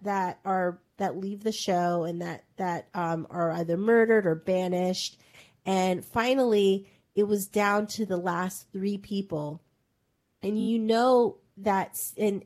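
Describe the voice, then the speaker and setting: A woman's voice: medium pitch, a little mellow. Rambling, chatty woman recapping a show. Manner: rambling storytelling full of "like" and "um". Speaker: podcast co-host. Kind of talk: casual pop-culture chat.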